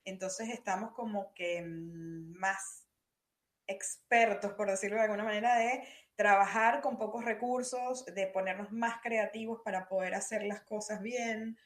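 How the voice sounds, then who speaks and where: A young adult Venezuelan woman talks as a podcast guest in a relaxed conversational way. She thinks aloud, pausing on fillers and circling back to rephrase, with a Venezuelan Spanish lilt. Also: medium pitch. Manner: thoughtful, hesitant, with many fillers and restarts. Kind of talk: conversational interview.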